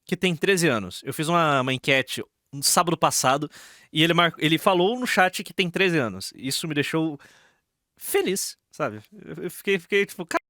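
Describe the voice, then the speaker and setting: A man's voice: on the high side, lively intonation, normal speed, sharp tone, no room sound, clean studio sound. A Brazilian man doing casual live reaction commentary as a streamer, slangy and sarcastic, often repeating his phrases.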